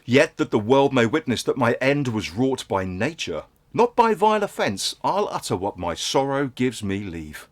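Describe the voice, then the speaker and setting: An actor playing a grieving, world-weary merchant father in a Shakespearean stage monologue, speaking in solemn, measured, theatrical verse. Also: mid-range voice, big pitch swings, close to an everyday voice. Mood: sorrowful, resigned.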